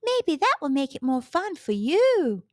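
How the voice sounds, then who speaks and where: Woman as high-pitched, helpful little beetle friend. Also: sing-song, unhurried, a little mellow, no room sound, studio quality. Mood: cheerful. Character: helpful, cheerful dung beetle friend offering tips. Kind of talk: children's storybook character voice.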